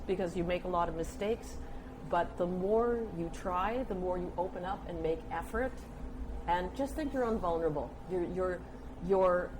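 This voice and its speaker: An older woman, telling stories conversationally as an interview guest, with an animated manner. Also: fairly low pitch. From United States. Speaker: interview guest, communications specialist. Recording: clear background noise and room ambience.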